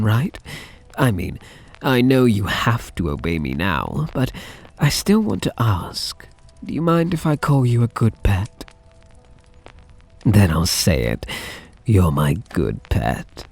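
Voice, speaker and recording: A soft yandere mafia heir, acted by a man in an intimate ASMR roleplay, speaking slowly with a breathy, husky edge. His delivery rises and dips expressively as he coaxes, tender and possessive. Music plays quietly behind him. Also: on the low side, very animated, exaggerated voice. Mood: tender, teasing. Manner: tender, coaxing, softly possessive.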